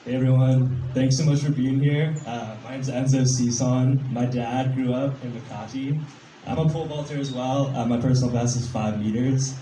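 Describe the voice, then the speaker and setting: A man with a very dark, mellow voice introduces himself in a relaxed, thankful way. The room around him rings, with faint background noise. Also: medium pitch, normal speed, roomy sound, poor audio. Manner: grateful and relaxed. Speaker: athlete. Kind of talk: self-introduction.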